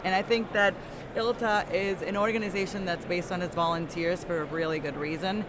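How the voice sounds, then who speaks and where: A woman speaks casually as an interviewer, in a conversational style. Her voice is recorded with clear ambient noise around it. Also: lowish voice, steady tone, brisk. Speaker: interviewer, conference volunteer. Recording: clear urban background noise.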